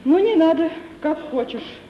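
Echoing, high-voiced young orphan girl. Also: animated, moderate pace, far from the mic. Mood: timid, pleading. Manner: earnest, plaintive. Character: young orphan girl, modest, wounded, proud. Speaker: actor. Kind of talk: 1950s Russian radio play acting. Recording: muffled, echoing old radio recording, slight noise.

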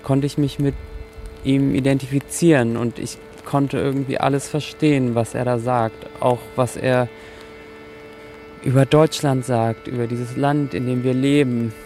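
A man's voice: medium pitch, steady tone, a little slow, mellow, faint music behind. A man speaking in an earnest, reflective and literary way. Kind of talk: reflective account and solemn literary reading.

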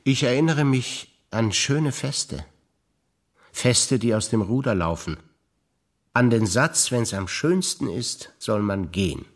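An older man from Bavaria, a stage actor, reciting memories in a calm, understated way. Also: mid-range voice, slow pace. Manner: calm, understated, matter-of-fact delivery.

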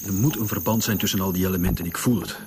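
A man's voice: low voice, rapid-fire, noisy background, lightly acted. A man with a Flemish accent playing a commanding, impatient police chief commissioner in a radio drama. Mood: stern.

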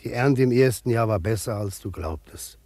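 An actor playing a grave, steadfast peasant storyteller in a radio drama, with a solemn, measured biblical cadence. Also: male voice, mid-range voice, expressive, warm tone, no echo.

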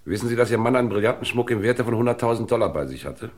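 An actor playing a brisk, businesslike police inspector in a vintage crime radio play, speaking in a clipped, commanding, matter-of-fact way. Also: male voice, medium pitch, expressive, lightly acted. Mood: probing, firm. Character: brisk, businesslike male police inspector investigating a hotel murder.

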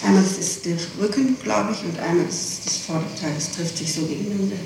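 A woman's voice: mid-range voice, fast talker, vocal fry, a little mellow. A woman answering questions in an interrogation, defensive and insistent, often repeating herself. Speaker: interrogated witness. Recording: distant, very echoey, noisy archival tape.

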